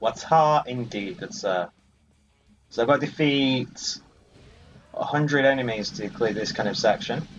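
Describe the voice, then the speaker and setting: A man's voice: medium pitch, unhurried, pauses often. A man giving casual, rambling let's play commentary on a video game. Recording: muffled sound, game music behind. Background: video game music.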